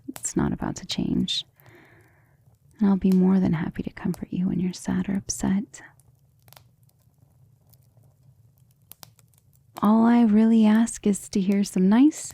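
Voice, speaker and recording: Woman acting a breathy, tender ancient vampire lover. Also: mid-range voice, no echo. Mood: reassuring, protective. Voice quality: soft, breathy, often whispering. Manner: intimate, gentle, formal wording. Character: centuries-old female vampire lover, tender, protective, self-assured. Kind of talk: ASMR romantic roleplay.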